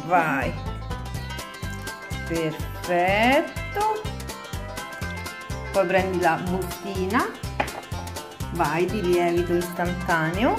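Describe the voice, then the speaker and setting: A woman's voice: lowish voice, very animated, drawn-out words, long pauses, over music. A woman in her home kitchen, patiently guiding her young daughter through a recipe and praising each step. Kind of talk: casual home cooking video.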